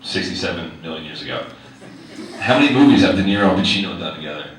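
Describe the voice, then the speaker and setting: A man's voice: low-pitched, animated, quick pace. A male teacher reading his own personal essay aloud to a live audience, wry and conversational, with direct questions to the listeners. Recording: very echoey hall, distant mic, faint noise.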